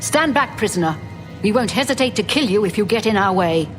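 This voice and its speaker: A woman playing a brisk, authoritative guard captain in a fantasy video game, with a firm, businesslike manner. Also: mid-range voice, expressive, over music, natural voice. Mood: brusque.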